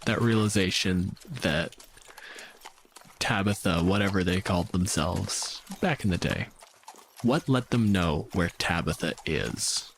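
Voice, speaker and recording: A man's voice: deep voice, unhurried, slight background noise. A man acting as game master, talking casually and informally as he narrates and questions the players.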